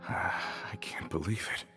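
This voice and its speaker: A man playing a tough, loyal gang member freed from prison in an anime-style game, expressive and hearty. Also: very slow, loud music behind the voice. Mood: shocked, grieving.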